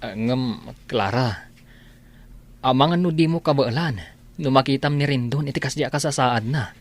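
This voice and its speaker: A man playing a concerned friend who speaks hesitantly and gently in a radio drama. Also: mid-range voice, lightly acted. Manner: hesitant, halting. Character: hesitant, concerned male friend breaking bad news gently.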